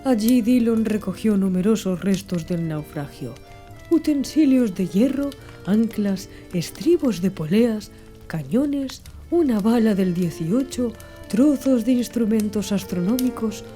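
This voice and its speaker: A woman narrating a literary audiobook in a measured, formal reading style. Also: mid-range voice, lively intonation, dark tone, soft background music.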